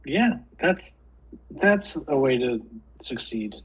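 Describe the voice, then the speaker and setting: A man's voice: mid-range voice, very animated, slow pace, slightly rough, rough recording. A man on a video call podcast, joking dryly and teasing the host. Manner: dry, teasing. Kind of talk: casual chat over video call.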